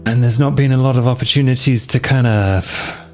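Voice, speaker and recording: A man's voice: medium pitch, fairly even intonation, a little fast, a little mellow, soft background music. A man playing his character in a tabletop game, talking casually and hesitantly. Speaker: player. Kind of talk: in-character roleplay dialogue.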